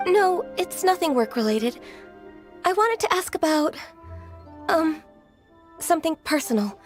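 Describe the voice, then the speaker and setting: A gentle, anxious young secretary in a game, soft-spoken and hesitant. Her voice is high and polite, with faint game music behind. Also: very animated, unhurried, full character voice. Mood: timid.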